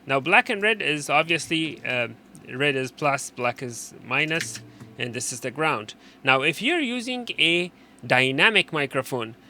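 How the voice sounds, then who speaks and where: A man presenting an unscripted technical how-to, explaining in a halting way and often repeating and rephrasing his instructions. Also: fairly high pitch, pushed and bright, slight background noise. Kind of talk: unscripted hands-on tutorial explanation.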